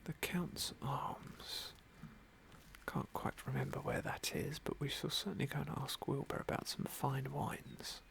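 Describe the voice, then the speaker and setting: A man giving gentle, musing ASMR roleplay commentary on a game in a soft, breathy whisper. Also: mid-range voice, steady tone, measured pace. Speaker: game playthrough host.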